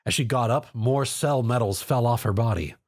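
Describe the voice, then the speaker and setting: A man's voice: mid-range voice, normal speed, darkish tone. A man narrating a scripted story as a dramatic reader. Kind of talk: scripted narration, dramatic fan fiction reading.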